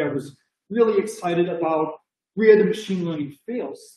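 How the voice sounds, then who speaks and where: A man's voice: sits high, warm tone, reverberant, slight background noise, rough recording. A middle-aged man with a Boston accent giving an enthusiastic, conversational math conference talk over a video call, with many "you know" fillers. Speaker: mathematics lecturer.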